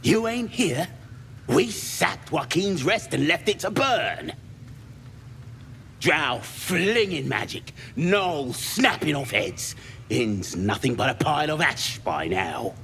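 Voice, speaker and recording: An actor playing a rough, cocky, crude goblin raider in a video game, his voice rasping. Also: on the high side, very animated, slow, sharp tone, a little hiss or hum, heavily acted. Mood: gloating. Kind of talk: video game character acting.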